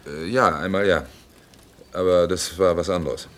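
A man's voice: sits low, measured pace, fairly bright tone, a little hiss or hum. An actor playing an upright, world-weary man in a German radio play, grumbling and emphatic. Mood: recollecting.

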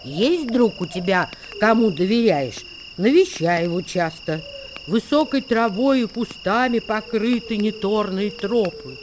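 Female, radio-drama verse reciter: deliberate declamation, slow tempo, richly varied melody, music bed underneath. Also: mid-range voice, expressive, noisy background. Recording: music behind the voice.

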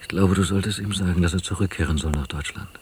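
An actor playing a hesitant, affectionate man in quiet, intimate radio drama dialogue, with a gravelly voice. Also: low voice, fast, natural voice. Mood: concerned, advising. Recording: noisy, hissy old cassette radio play.

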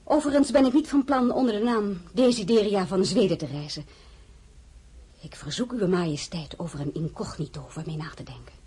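A proud young crown princess in a radio drama. Her voice is very husky and breathy at a middling pitch. She speaks with dignity and an outspoken, defiant streak. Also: expressive, a little fast, mellow. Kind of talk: dramatised radio play acting.

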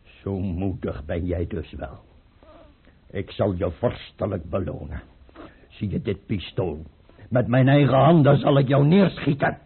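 Brooding, volatile tsar, muffled old recording. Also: male voice, fairly low pitch, expressive, measured pace, gravelly, slightly rough, heavily acted. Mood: suspicious, threatening. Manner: theatrical, brooding, swinging into outbursts. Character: tormented, volatile, suspicious tsar given to drink. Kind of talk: old Dutch radio drama acting. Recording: muffled, narrow-band old radio recording.

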